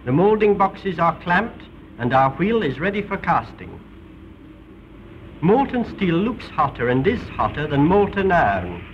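A man's voice: high-pitched, big pitch swings, measured pace, highs cut off, slight background noise. A British man giving formal, precise scripted narration in the theatrical manner of a 1930s educational film, on an old film soundtrack. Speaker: documentary narrator.

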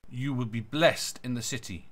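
A man's voice: mid-range voice, normal speed, a bit bright. A man reading Bible verses aloud as a guest reader.

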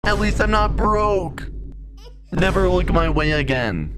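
A snobbish, rude rich boy from a cartoon, played by a woman, who sneers at everyone in a low voice. He speaks slowly, and faint music runs underneath. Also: lively intonation. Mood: sneering. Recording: with background music.

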